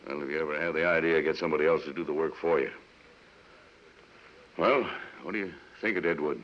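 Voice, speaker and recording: Deep-voiced, self-assured gunfighter. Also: male voice, fairly quick, a little hiss or hum. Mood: easygoing. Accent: American Western. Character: weary, proud, self-assured frontier gunfighter, a man. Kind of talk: 1950s radio western acting. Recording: muffled 1950s radio broadcast recording.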